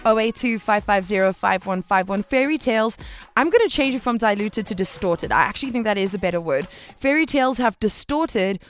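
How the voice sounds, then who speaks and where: A woman's voice: medium pitch, animated, fairly quick, faint music behind. A woman hosting a radio talk show, speaking in an informal, slangy and emphatic conversational way. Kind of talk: conversational radio commentary with listener voice notes.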